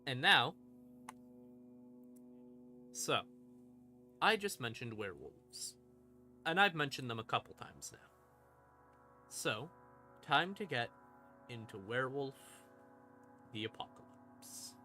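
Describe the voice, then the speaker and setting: A man hosting a stream and explaining game lore in a casual monologue, with theatrical, deliberate pauses between short phrases. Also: medium pitch, animated, sharp tone. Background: ambient music in the background.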